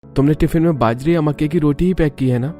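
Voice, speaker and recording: A woman plays a calm, reassuring young husband in a cartoon story. The voice sits lower, with faint music underneath. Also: fast, natural voice. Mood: agreeable. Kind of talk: Hindi cartoon story character acting.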